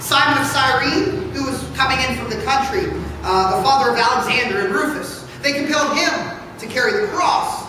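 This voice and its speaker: Distant and ringing in a big, noisy church, a man reads scripture aloud as a pastor. He projects in a high voice, theatrical and deliberate. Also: bright, forceful tone. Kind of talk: dramatic scripture reading. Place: large church interior. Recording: echoey church hall from far off, noisy.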